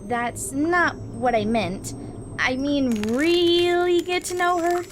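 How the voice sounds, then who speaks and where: A woman voicing a perky, bossy young robot girl who teases and schemes in an animated comic dub. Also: high voice, slow pace. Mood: pushy. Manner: animated, teasing. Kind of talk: cartoonish comic dub acting. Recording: music behind the voice.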